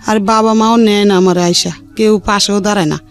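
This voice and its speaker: A middle-aged woman speaks in colloquial Bangladeshi speech, recounting her own life to an interviewer. She is plain-spoken and rambles from detail to detail. Soft music plays faintly behind her. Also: mid-range voice, steady tone, a little fast. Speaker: interview guest. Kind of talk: personal life-story account.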